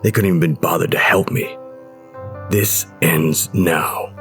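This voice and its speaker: A deep-voiced, brooding husband played by a male actor in an audio horror drama. He is gruff and curt, bitter and belittling toward his family. Clear music plays behind his low voice. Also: steady tone, a little slow, a bit bright, heavily acted. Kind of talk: audio horror anthology acting.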